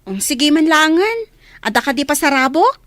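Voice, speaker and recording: A woman's voice: high voice, very animated, normal speed, fairly bright tone, no room sound. A woman with an Ilocano accent playing a feisty, loyal young friend in a radio comedy drama. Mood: teasing, questioning.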